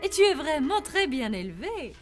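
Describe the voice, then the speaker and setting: A woman's voice: high voice, sing-song, normal speed. A woman voicing an effusive, sweetly polite female schoolteacher in a cartoon. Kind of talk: animated cartoon dub acting. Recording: faint music under the voice.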